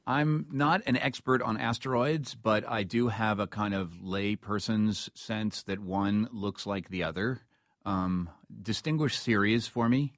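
A man hosting a conversational radio interview, putting his questions in a halting, deliberate way with shifting emphasis. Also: fairly low pitch, a bit bright, dry recording, clean studio sound.

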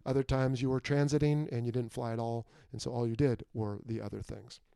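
A man's voice: mid-range voice, little pitch movement, a little fast, gravelly, mellow, clean tone, dry recording, studio quality. A man in his forties hosting a podcast, answering a listener question and then interviewing a guest in an upbeat, emphatic way. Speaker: podcast host.

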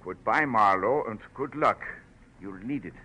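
An actor playing an uneasy, cautious client of a detective, speaking gravely in 1940s radio drama style. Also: male voice, lowish voice, animated, measured pace, loud music behind the voice. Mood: warning, uneasy. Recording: noisy old radio recording.

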